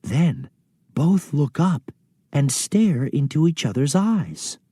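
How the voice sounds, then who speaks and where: A man's voice: fairly high pitch, sing-song, slow, dark tone, slightly rough, no echo, clean studio sound. A man narrating a scripted children's play, reading out stage directions and story.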